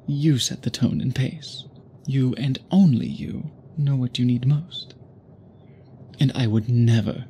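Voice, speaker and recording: A man performs an obsessed vampire gentleman in an intimate audio roleplay. His mid-pitched voice moves slowly, with formal, flowery phrasing and deliberate pauses, possessive yet held in check. Ambient noise sits behind him. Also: vocal fry. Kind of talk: intimate scripted ASMR roleplay, addressed to listener.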